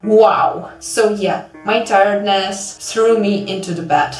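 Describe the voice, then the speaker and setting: A woman's voice: medium pitch, slow, darkish tone. A woman from Ukraine, a native Ukrainian speaker, narrating a video devlog in an informal, self-deprecating way. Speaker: video creator and game developer. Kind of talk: scripted devlog narration with casual asides. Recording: roomy echo, distant mic, background music.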